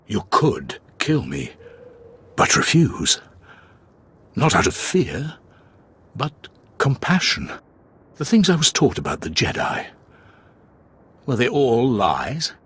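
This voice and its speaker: An actor playing a grave, honor-bound Sith warrior in a video game, with a rough voice and solemn, formal delivery. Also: male voice, huge pitch swings, slow, long pauses, fairly bright tone, exaggerated voice. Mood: troubled. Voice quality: deep, rough, husky. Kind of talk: video game dialogue acting.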